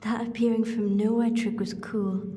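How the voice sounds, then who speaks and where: An actress playing a curious, candid young companion in a sci-fi audio drama, frank and easily puzzled. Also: female voice, mid-range voice, level tone, normal speed, mellow, natural voice. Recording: echoey hall reverb with music behind.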